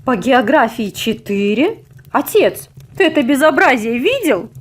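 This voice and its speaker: A woman's voice: high-pitched, big pitch swings, measured pace, slightly rough, exaggerated voice. A woman voicing an old-fashioned, scolding grandmother in a storybook, with a folksy, reproachful and emphatic manner.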